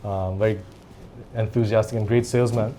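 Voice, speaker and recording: A man's voice: fairly low pitch, fairly even intonation, fairly quick, warm tone, slight background noise, low-quality recording. A man in his forties whose first language is Japanese, talking as a company CEO in a podcast interview, chatty and telling stories with small laughs. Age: 40s. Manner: chatty storyteller, laughs between phrases, rambling. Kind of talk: casual interview conversation.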